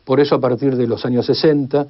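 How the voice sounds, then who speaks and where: A man's voice: medium pitch, steady tone, fast talker. A man with an Argentine accent giving a formal expert explanation in long, list-like sentences. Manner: formal, builds long enumerations. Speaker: expert commentator on radio history. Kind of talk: measured spoken exposition. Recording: slightly dull, band-limited sound.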